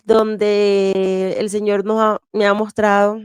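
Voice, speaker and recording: A woman from Venezuela telling her childhood story in an interview, slow and even, with soft laughs and fillers. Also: mid-range voice, clean tone, no room sound. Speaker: interview guest sharing testimony. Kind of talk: candid personal testimony.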